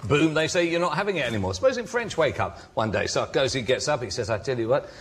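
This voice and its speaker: A man on a comedy panel, excitable and laughing as he argues. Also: medium pitch, fast. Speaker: panel guest.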